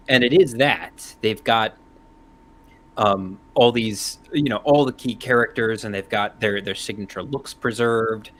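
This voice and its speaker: A man on a panel, explaining his view in a casual, measured and analytical way. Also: on the low side, a bit bright, low-quality recording. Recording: faint background noise.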